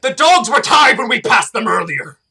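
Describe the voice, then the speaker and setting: A man voicing a fierce, commanding dark warrior cat in a dramatic fan-made audiobook, stern and accusing. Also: extremely high pitch, sing-song, moderate pace, creaky, heavily acted. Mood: furious, accusing.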